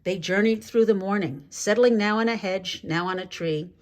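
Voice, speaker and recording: A woman reading a children's story aloud in an expressive, measured way, drawing out key words for drama. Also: mid-range voice. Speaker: storybook reader.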